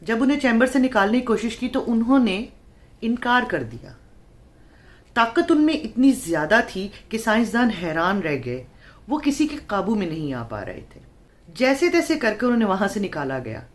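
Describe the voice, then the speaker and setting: A woman telling a horror story in a dramatic, suspenseful way, phrasing it deliberately with pauses. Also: on the high side, sing-song. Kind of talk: dramatic horror story narration in Urdu-Hindi.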